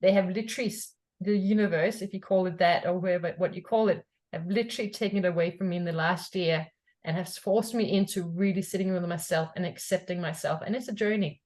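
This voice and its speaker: A woman's voice: fairly low pitch, steady tone, quick pace, a little mellow. Reflective woman from Denmark. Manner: reflective, repeats "yeah?" to check in. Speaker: podcast host. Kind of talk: casual conversation.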